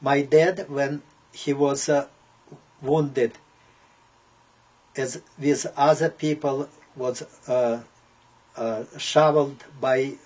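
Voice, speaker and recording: An elderly man with an Eastern European accent gives a personal oral history. His voice is raspy and worn, at a middle pitch. He speaks slowly in English that is not his first language, pausing often between short, broken phrases. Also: fairly even intonation, a little mellow, low-quality recording. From Poland (now Belarus). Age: 70s. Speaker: Holocaust survivor giving testimony.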